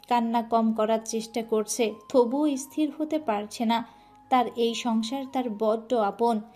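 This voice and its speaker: A woman's voice: on the high side, darkish tone. A woman narrating a romantic family story in an emotional, earnest style.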